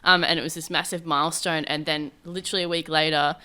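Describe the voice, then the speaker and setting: A woman's voice: low voice, steady tone, fast, pushed and bright, clear, resonant tone. A woman from Queensland hosting a casual podcast interview, chatty and rambling with restarts. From Queensland, Australia. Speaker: podcast host. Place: small office studio.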